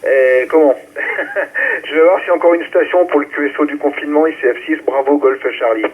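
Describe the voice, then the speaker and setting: A husky-voiced man acts as controller on an amateur radio net. He hands over and checks call signs in an informal way. The sound is thin and muffled, squeezed through a radio relay. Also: on the high side, moderate pace. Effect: ham radio transmission. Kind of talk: running a ham radio round-table, call signs. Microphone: radio transceiver microphone.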